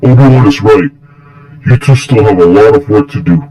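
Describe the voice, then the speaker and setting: A man voicing a stern, confident dark-lord mentor in an amateur fan story, coaching in a blunt, matter-of-fact way. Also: on the low side, normal speed, close to an everyday voice, rough recording.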